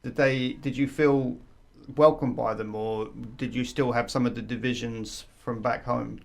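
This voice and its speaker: A man's voice: mid-range voice, fairly even intonation, unhurried, vocal fry. A man interviewing a guest, asking short probing questions and laughing easily. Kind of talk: casual interview.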